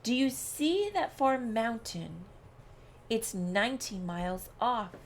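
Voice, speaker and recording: A proud male rabbit from a storybook, played by a woman reader, bragging and challenging. The words come very slowly and deliberately, with a smug certainty about his keen ears. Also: on the high side, expressive, full character voice.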